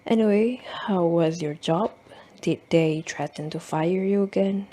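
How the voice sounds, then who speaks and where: A haughty gentleman in a radio drama, acted by a woman. The voice is lowish and very slow, with a disdainful manner.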